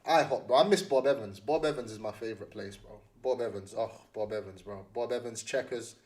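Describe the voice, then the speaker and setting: A man's voice: on the low side, a little fast, darkish tone. A young man with a London accent chatting casually to livestream viewers, slangy and often repeating phrases for emphasis.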